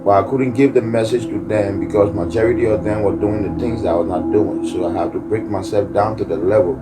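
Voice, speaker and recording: A man from Liberia, telling his story earnestly and haltingly, in a preacher-like way. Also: on the low side, fairly even intonation. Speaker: community activist and musician. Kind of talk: earnest personal testimony. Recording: distant voice over loud music.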